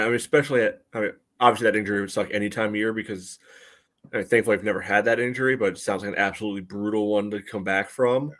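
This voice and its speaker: A man's voice: lowish voice, a bit bright. A man co-hosting a sports podcast, giving casual analysis in a hesitant, loosely phrased way full of "you know".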